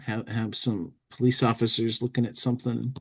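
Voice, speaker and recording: A man's voice: medium pitch, little pitch movement, normal speed, breathy edge. A man hosting a casual talk show, reading a comic magazine aloud expressively and commenting with loose asides. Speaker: podcast host.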